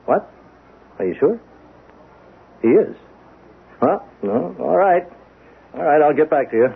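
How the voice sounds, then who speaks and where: An actor playing a flustered, dismissive army general who harrumphs and repeats himself in a 1970s radio drama. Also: male voice, fairly high pitch, expressive, unhurried, creaky, a little hiss or hum. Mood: flustered, exasperated. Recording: muffled, phone-like old radio recording.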